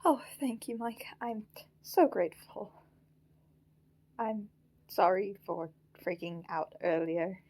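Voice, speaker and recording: A woman's voice: medium pitch, takes it slowly, long pauses, warm tone. A woman playing a frantic, childlike living puppet in an audio drama, flustered, stammering and pleading. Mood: grateful, apologetic.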